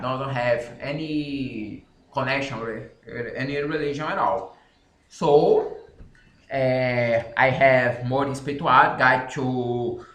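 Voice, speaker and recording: A man from Brazil speaking English with a Brazilian Portuguese accent, talking casually and haltingly, correcting himself as he goes. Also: medium pitch, fairly bright tone, roomy sound. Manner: halting, self-correcting non-native English with frequent pauses. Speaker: independent author. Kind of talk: casual explanatory talk to camera.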